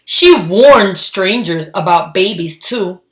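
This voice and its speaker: A woman reading a storybook aloud to children, expressive and emphatic, adding her own asides between the lines. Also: medium pitch, slow pace. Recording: echoey, roomy sound.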